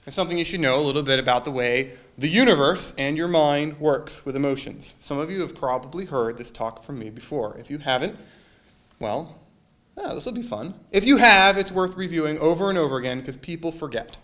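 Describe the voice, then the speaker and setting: Male minister, fairly high voice, chatty sermon with jokes and audience quizzes. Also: animated, brisk, a bit bright. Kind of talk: casual sermon to a congregation.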